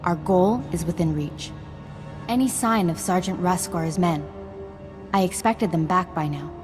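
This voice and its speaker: An actress playing an earnest, caring female Jedi ally in a video game, speaking in a formal, measured manner. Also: on the low side, over music, lightly acted.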